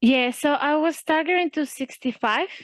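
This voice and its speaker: A woman's voice: high voice, moderate pace, dry recording. A young woman with a Spanish accent, talking hesitantly in a casual interview, with many fillers and restarts. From Spain. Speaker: student interviewee.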